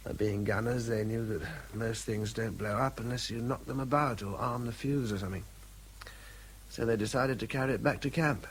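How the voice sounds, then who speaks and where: An actor playing an exhausted, anxious English army officer in a radio drama, urgent and halting in his speech. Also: mid-range voice, steady tone, a little hiss or hum, lightly acted, rough recording. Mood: weary, grave. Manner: halting, repeats himself under strain. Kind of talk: British radio drama acting.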